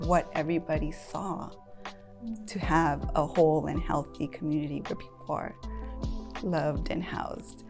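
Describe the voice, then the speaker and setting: Low gravelly-voiced woman. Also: a little mellow. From San Jose, California. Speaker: land trust board president. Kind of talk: interview talk.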